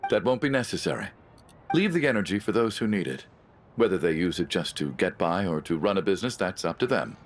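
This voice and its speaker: A man's voice: deep voice, big pitch swings, brisk, full character voice. A man playing a weary but resolute factory director in a video game drama. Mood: resigned.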